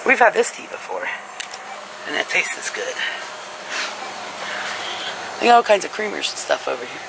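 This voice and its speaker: A woman's voice: on the low side, very animated, normal speed. A woman vlogger chatting casually to her viewers as she browses the aisles of a large store, filmed on a handheld camera. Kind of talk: casual shop-along commentary. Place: inside a large discount store. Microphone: handheld camera carried while walking. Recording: store background noise. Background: store ambience.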